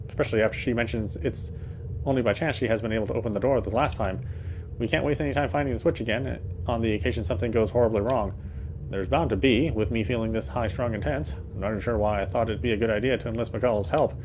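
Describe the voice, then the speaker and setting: A man's voice: mid-range voice, darkish tone. A man playing a visual novel, reading its narration aloud in a dramatic storytelling style. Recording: faint background noise.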